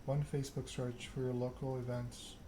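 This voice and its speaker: A man reading a story aloud in an ASMR narration style, measured and unhurried. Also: mid-range voice, level tone. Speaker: video game streamer reading game text aloud. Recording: noticeable background noise.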